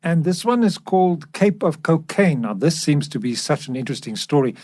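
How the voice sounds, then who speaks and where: Man, fairly high pitch, podcast co-host, presenting style. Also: sing-song, fairly quick, darkish tone.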